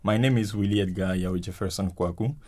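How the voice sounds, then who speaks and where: Very deep-voiced man from Ivory Coast. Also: brisk, a little mellow. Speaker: college student.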